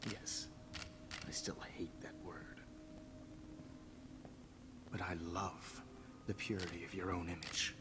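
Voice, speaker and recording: Slow, whispering creepy photographer villain. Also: male voice, sits low, level tone. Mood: quietly menacing. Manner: slow, hushed, breathy murmuring with sudden shouts. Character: creepy, obsessive male photographer villain, menacing and controlling. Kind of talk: video game voice acting.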